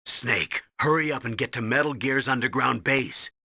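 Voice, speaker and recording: Stern, forceful military colonel, husky edge. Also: male voice, mid-range voice, moderate pace, no room sound, studio quality, heavily acted. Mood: urgent, commanding. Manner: authoritative, clipped, commanding. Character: stern, urgent military colonel giving mission orders. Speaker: military commander character in a video game. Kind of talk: dramatic video game radio briefing.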